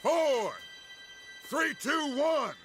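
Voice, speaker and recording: A man's voice: very high-pitched, lively intonation, extremely slow, music in the background, heavily acted. A man playing a theatrical, menacing middle-aged outlaw gang leader, commanding and mock-courteous, in a western game. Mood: forceful. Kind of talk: dramatic western video game acting. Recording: noisy outdoor game ambience.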